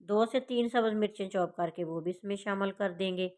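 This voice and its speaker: A woman's voice: mid-range voice, brisk, a little mellow. A woman with a sore throat casually narrates a recipe step by step, addressing her viewers directly as a home cook hosting her channel. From Pakistan. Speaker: home cooking channel host.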